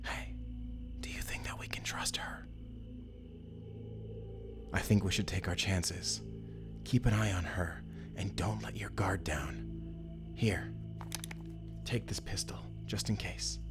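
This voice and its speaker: Man acting a low-voiced, guarded vampire slayer. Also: steady tone, takes it slowly, long pauses, whispered, over music. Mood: cautious. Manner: wary, commanding, terse. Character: mysterious, guarded Spanish male vampire slayer. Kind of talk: cinematic audio roleplay acting.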